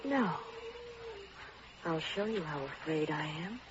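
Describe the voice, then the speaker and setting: An actress playing a calm, taunting ghost of a dead young woman in an old radio horror play, speaking cool and deliberate. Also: sits low. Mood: calm, knowing. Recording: noisy, muffled vintage radio recording.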